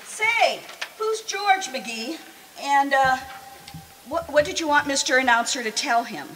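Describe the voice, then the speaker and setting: A woman playing a fond, sensible, gently scolding long-married wife in old-time radio comedy. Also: high voice, animated, measured pace, slight background noise, exaggerated voice. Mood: curious. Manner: affectionate, chiding. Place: live stage show in a community hall. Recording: reverberant hall stage performance.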